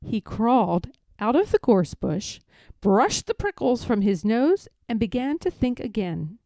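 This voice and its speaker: A woman reads a children's story aloud with expressive, widely varied intonation. She takes her time over each phrase. Her tone is soft and mellow, in a dry, close recording. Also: a little high, unhurried, no echo.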